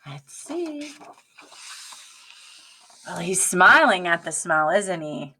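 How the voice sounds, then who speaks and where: Slow, animated woman reading to children. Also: lowish voice, a little hiss or hum. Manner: questioning, instructive, addressing young children. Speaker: teacher reading a picture book aloud. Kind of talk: expressive children's read-aloud.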